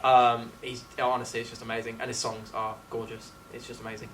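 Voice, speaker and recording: A man's voice: mid-range voice, level tone, fairly quick, a bit bright. A man, a student actor chatting effusively and informally, often saying "like". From England. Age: late teens. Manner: effusive, peppered with "like". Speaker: student actor being interviewed. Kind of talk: casual interview chat. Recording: distant mic with faint background noise.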